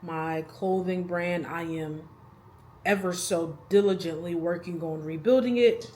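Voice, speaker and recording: A woman's voice: fairly low pitch, measured pace, a little mellow, a little hiss or hum. A woman in her thirties talking casually to the camera in a rambling, chatty vlog, laughing between thoughts. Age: 30s. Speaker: vlogger.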